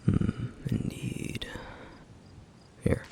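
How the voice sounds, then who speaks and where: A man voicing a stern yet caring flame demon in an ASMR roleplay, speaking in a hushed, gravelly whisper with measured, formal phrasing. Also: slight background noise. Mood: calm, instructive. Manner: measured, formal, slightly old-fashioned phrasing.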